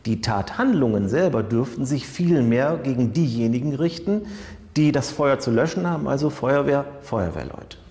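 A man giving formal, measured, analytical commentary as an expert on criminal behaviour. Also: medium pitch, animated, darkish tone. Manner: measured, formal, technical wording. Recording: long reverberant room sound.

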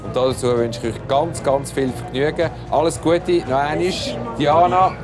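A man hosting a live outdoor TV event with a handheld mic, upbeat and brisk, music behind him. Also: a little high, fairly even intonation. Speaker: TV event host. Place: outdoor festival grounds. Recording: archive broadcast, music behind.

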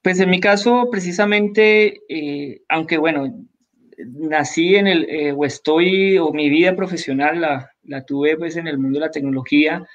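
A man in his thirties from Colombia, a tech entrepreneur speaking as a guest on a live video call, measured and restarting his phrases often. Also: on the high side. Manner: measured, many restarts and repetitions, formal. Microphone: video call audio. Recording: dull lo-fi call audio.

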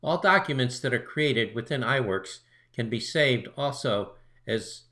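A man with a mid-pitched voice narrates a tutorial. He reads from a prepared script in measured phrases. The delivery is instructional, set out step by step. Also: expressive, pushed and bright.

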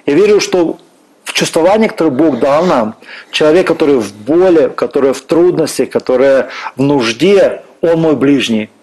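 A man's voice: fairly high pitch, animated, normal speed, darkish tone, breathy edge, poor audio. A middle-aged man from Russia, a guest minister speaking earnestly and preacherly about faith on a church stage. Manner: fervent, preacherly, quotes scripture. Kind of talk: earnest faith-based interview talk.

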